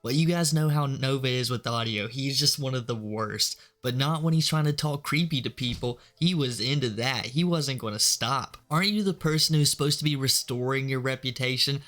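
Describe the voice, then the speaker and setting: A man's voice: medium pitch, moderate pace, few pauses, fairly bright tone. A man giving informal, disapproving video commentary in a scornful tone.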